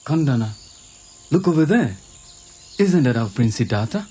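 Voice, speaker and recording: An actor playing a worried, earnest follower of an ascetic prince, grave and concerned, in an animated film. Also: male voice, mid-range voice, moderate pace, husky. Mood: curious.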